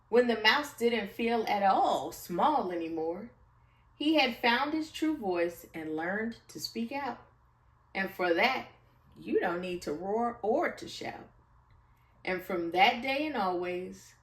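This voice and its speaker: A woman's voice: on the high side, animated, slow. A woman storyteller reading a rhyming children's picture book aloud with expression. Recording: echoey room, far from the mic.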